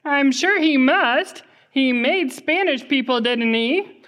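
A woman voicing an earnest young boy puppet in a children's church show, halting and innocent. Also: high-pitched, lively intonation, a bit bright, exaggerated voice. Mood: innocent, eager. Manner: halting, pompous when praying, otherwise plain childlike talk. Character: earnest young boy puppet, worried about his sister.

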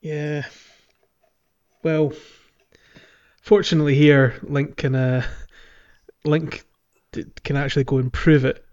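A man's voice: on the high side, little pitch movement, slow, long pauses, a little mellow, dull audio. A man in his thirties hosting a podcast, chatting casually about a game playthrough. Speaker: podcast host.

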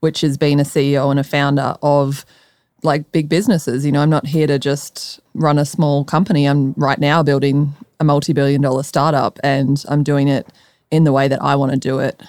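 A woman with an Australian accent, talking informally as a podcast guest and quick to laugh. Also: deep voice, level tone, a little mellow, no echo, studio quality. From Melbourne, Australia. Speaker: podcast guest, startup founder. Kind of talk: conversational interview.

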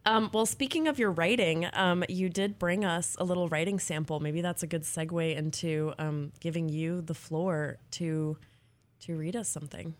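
A woman's voice: on the low side, fairly even intonation, normal speed. A woman hosting a casual interview, speaking in an encouraging, appreciative way to the guest. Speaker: podcast host, interviewer.

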